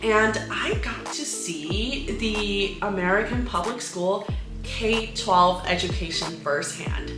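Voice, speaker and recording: A woman's voice: mid-range voice, slow, echoey room, over music. A woman from China in her thirties, talking casually to camera in a vlog while congested from a cold, chatty with many fillers. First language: Chinese. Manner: chatty, with frequent fillers and soft laughs. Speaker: English-teaching YouTuber.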